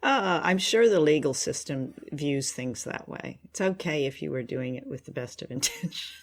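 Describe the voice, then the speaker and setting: A man co-hosting a podcast in a conversational style, with a measured, wry way of talking. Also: on the high side, animated, vocal fry. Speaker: podcast co-host. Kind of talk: conversational discussion.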